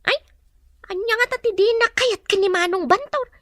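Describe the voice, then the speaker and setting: An actress playing a nosy, brazen village gossip in an Ilocano audio drama, with an Ilocano accent and a sing-song teasing manner. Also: female voice, extremely high pitch, normal speed. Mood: prying.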